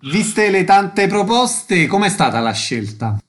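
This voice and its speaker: A man's voice: high-pitched, big pitch swings, slow, a bit bright. A man hosting a radio show, reading scripted announcements and putting questions to a guest. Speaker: radio host.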